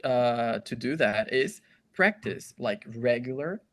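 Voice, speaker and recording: A man takes part as the guest expert on a podcast. He speaks in a middle pitch and explains things patiently and step by step in a teacherly way, often turning to address the audience directly. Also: animated, takes it slowly, a bit bright. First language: Portuguese. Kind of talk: explanatory conversation.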